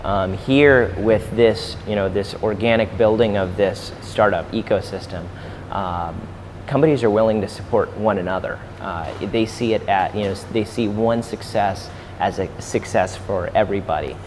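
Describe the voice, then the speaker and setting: A man's voice: lowish voice, normal speed. A man in his thirties from St. Louis, a startup incubator co-founder who answers interview questions hesitantly, with many fillers. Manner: hesitant, full of fillers and restarts. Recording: faint background noise.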